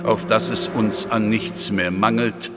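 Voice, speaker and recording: Devout cult brother: deepish, reverent, ceremonial delivery, audio drama, big hall echo, music behind. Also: male voice, fairly even intonation, slow pace. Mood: solemn, reverent. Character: devout, servile hooded brother of a secret lodge. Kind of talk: German audio drama acting.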